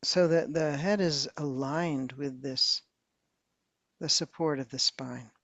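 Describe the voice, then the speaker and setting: A woman meditation teacher slowly leading a guided meditation in a soft, calm voice with long pauses. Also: low voice, no echo, highs cut off.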